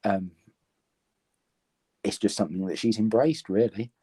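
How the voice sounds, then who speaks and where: A man in his thirties with an English accent, born in Romania, answers an interviewer's questions in a low, mellow voice. He speaks earnestly and thoughtfully, pausing often with "um" as he gathers his words. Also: slightly rough. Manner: earnest, thoughtful, many ums. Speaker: interview guest. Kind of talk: reflective conversation.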